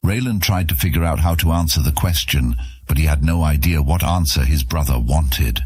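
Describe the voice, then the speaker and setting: A man narrating a fantasy audio drama in an even, measured storytelling manner with a husky voice. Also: rumbling low, no room sound, clean studio sound.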